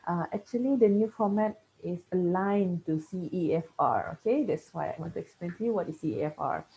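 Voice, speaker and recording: A woman's voice: on the low side, lively intonation, brisk, gravelly, dark tone, lo-fi sound. A woman from Malaysia, whose first language is Kayan, giving a university lecture and often checking in with "okay?". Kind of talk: classroom lecture.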